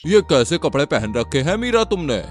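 A man's voice: on the high side, sing-song, moderate pace. A man voicing a pushy, calculating middle-aged bus owner in a cartoon, insistent and patronising. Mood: disapproving, pushy. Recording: music behind.

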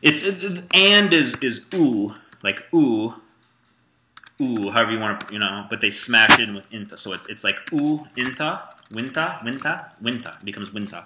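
A man's voice: medium pitch, moderate pace, noisy background. A man teaching a student Arabic in a one-on-one lesson, patiently prompting him and repeating his praise.

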